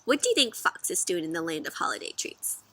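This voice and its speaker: A woman reading a storybook aloud for children in an expressive, interactive way, putting questions to the listener. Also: on the low side, fast talker.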